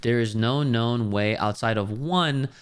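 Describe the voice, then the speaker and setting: A man hosting a casual step-by-step software tutorial, explaining things in a conversational way. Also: medium pitch, a little slow, clean tone. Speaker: tutorial host.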